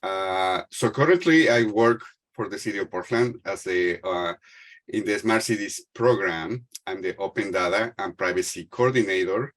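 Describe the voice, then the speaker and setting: A middle-aged man with a Mexican Spanish accent speaks in a lowish voice over a video call. He talks in a halting way, full of "uh"s and restarts. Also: a bit bright. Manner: hesitant, many fillers and restarts. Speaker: panel speaker. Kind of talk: panel talk on a video call.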